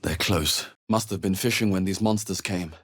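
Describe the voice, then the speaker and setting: An actor playing an observant, wry adventurer in a video game, speaking in a husky, measured, understated way. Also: male voice, low-pitched, a bit bright. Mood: wry, concerned. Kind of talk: video game voice acting, short reactive lines.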